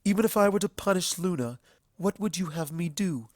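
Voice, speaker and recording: A man voicing a calm, sorrowful, regal pony princess in a storybook reading, soft and measured. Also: sits high, dry recording, clean studio sound, voice pushed up. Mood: firm, dignified. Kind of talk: dramatic fan fiction character reading.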